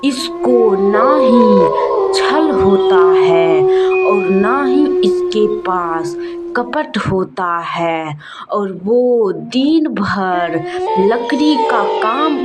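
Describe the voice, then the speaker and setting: A woman's voice: medium pitch, big pitch swings, extremely slow. A woman storyteller narrating a cartoon horror tale for children in a simple, repetitive oral style. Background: music.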